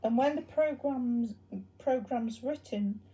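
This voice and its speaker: A woman presenting a hobby project in an informal, unscripted demonstration, explaining things in a hesitant, halting way. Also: a little high, noisy background.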